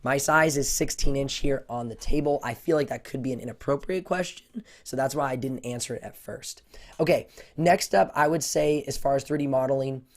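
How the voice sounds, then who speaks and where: A man with a voice hoarse from a cold, answering viewer questions on a casual livestream, rambling with lots of fillers. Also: medium pitch, brisk. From United States. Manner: rambling, many fillers and restarts. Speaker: tech YouTuber hosting a livestream Q&A.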